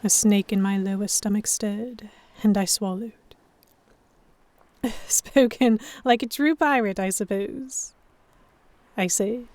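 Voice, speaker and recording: A woman reads first-person narration for a romance audio drama and acts out the dialogue. Her pitch sits in the middle range. The sound is dry, with no room around it. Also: steady tone, slow pace, long pauses, darkish tone, no echo. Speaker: narrator and lead actor.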